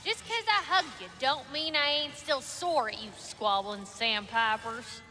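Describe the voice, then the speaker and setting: A woman's voice: high-pitched, a little slow, sharp tone. An actress playing a brash, sassy female dwarf blacksmith in a video game, teasing in folksy slang. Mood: scolding. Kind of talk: cinematic video game acting.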